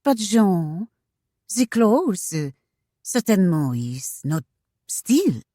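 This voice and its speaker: A woman's voice: medium pitch, very animated, slow pace, mellow, no room sound, clean studio sound. A woman voicing a flirtatious, playful society lady in a storybook, gushing and exclamatory with a foreign accent. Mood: curious, coy.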